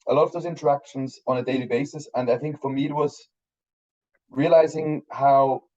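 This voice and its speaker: Mellow-voiced Swiss man, rambling chat. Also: mid-range voice, steady tone, moderate pace, lo-fi sound. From Switzerland. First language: Swiss German. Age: 30s. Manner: rambling, many restarts and fillers. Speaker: guest filmmaker. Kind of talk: casual interview chat.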